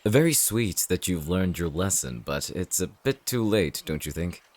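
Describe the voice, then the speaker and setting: A male actor as a smug, contemptuous hunter villain in a fantasy audio roleplay. His voice sits low and deep, and he taunts with a sneer. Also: very animated, normal speed, a little mellow. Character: cocky, contemptuous male shifter-hunting villain. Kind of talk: audio roleplay drama acting.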